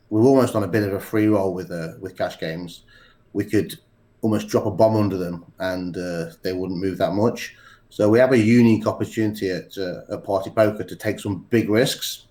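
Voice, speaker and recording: Man, stuffy nose from a cold, low voice, halting speech, podcast guest. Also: a little mellow. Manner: halting, many ums and restarts. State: congested with a cold. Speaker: poker executive guest. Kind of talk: podcast interview.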